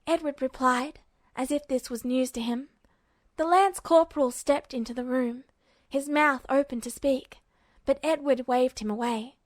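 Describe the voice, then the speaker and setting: Female fiction narrator, fairly high voice, dry recording with no room echo. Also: fairly even intonation, a little slow. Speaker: podfic narrator.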